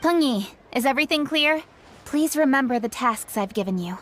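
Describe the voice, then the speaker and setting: A woman's voice: high-pitched, expressive, moderate pace, fairly bright tone. A woman playing a dutiful, disciplined young official in a video game, speaking in a businesslike, formal way. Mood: brisk, dutiful. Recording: faint ambient noise behind voice.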